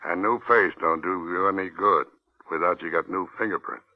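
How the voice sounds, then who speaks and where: An actor in a 1950s radio drama playing a seedy back-alley doctor, insistent and wheedling, with a gravelly voice. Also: male voice, sits low, moderate pace, close to an everyday voice. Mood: ingratiating. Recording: dull, band-limited 1950s radio recording.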